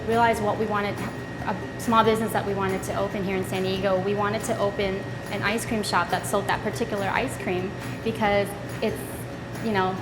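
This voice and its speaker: A woman's voice: medium pitch, big-room sound, poor audio. A middle-aged woman from Vietnam, co-founder of an ice cream shop, talking casually in an interview with frequent ums and restarts. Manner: relaxed, with many ums and restarts.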